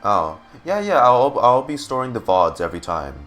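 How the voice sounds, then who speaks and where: A man streaming casual game reaction commentary, excitable and often repeating his words. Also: medium pitch, moderate pace.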